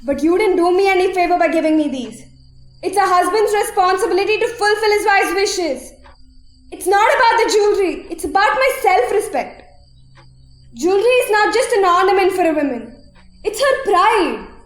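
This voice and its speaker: A woman's voice: very high voice, animated, moderate pace. A woman playing a proud, indignant young wife in an amateur radio drama, reproachful in manner. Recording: roomy echo, music behind.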